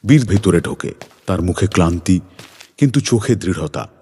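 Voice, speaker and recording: Low, animated male story narrator. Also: normal speed, husky. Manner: dramatic, suspenseful. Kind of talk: scripted suspense story narration. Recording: music behind the voice.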